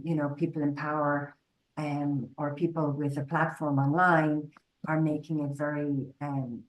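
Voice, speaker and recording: A low-voiced middle-aged woman from Ireland talks over a video call, her pitch staying flat and even. She speaks slowly and reflectively, pausing often with ums as she works through her thoughts in a webinar panel discussion. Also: poor audio. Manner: hesitant, reflective, many ums.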